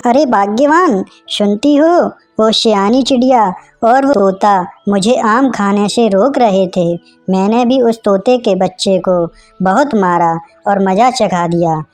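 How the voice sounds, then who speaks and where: A woman's voice: medium pitch, a little slow, darkish tone, faint music behind, close to an everyday voice. A woman voicing a brazen, quarrelsome male crow in a cartoon moral story, with a taunting, defiant manner. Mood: boastful.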